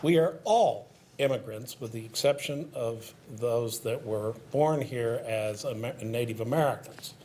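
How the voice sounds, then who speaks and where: An older man speaking in a measured, lecturing way as a guest on a panel discussion. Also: medium pitch, warm tone, slight background noise.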